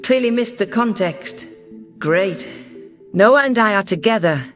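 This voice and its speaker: Sci-fi story narrator: man playing a composed, caring alien woman in charge, high and gentle voice, light music bed. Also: expressive, unhurried. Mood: concerned. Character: caring, composed female alien leader with paws. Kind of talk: first-person sci-fi story narration and dialogue.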